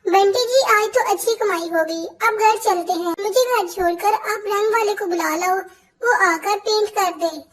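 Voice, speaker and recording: A woman's voice: extremely high pitch, measured pace. A woman voicing a sweet, earnest young female bird in a Hindi cartoon, polite and plaintive.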